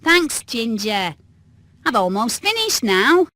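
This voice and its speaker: A woman's voice: fairly high pitch, very animated, slow, bright, forceful tone, no echo, clean studio sound, exaggerated voice. A woman voicing a brainy, enthusiastic inventor hen in a cartoon video game.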